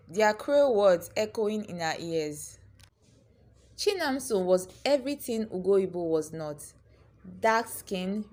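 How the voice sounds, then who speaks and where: A woman storyteller narrating a scripted folktale in a dramatic, expressive way. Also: medium pitch.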